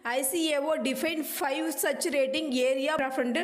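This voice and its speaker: A woman reading a scripted lesson aloud as a lecturer, in a halting, choppy, phrase-by-phrase way. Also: high voice, fairly even intonation. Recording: echoey room.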